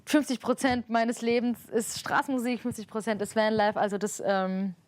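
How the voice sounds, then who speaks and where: A young woman, born in Iran and raised in Germany with Armenian as her first language, answering interview questions in a relaxed, reflective way with frequent fillers. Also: fairly high pitch, normal speed. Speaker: street musician guest.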